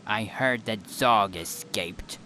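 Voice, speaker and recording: An actor playing a bitter, zealous alien warrior prince with an intense, measured dramatic delivery in a comic dub. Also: male voice, fairly low pitch, pushed and bright, a little hiss or hum. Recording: dramatic music over the voice.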